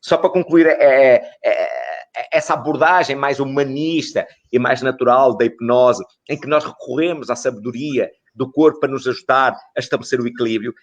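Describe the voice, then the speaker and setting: A middle-aged man from Portugal speaks at a medium pitch. He explains things didactically as an expert interview guest, building his points with repetition and rhetorical questions. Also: fairly quick. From northern Portugal.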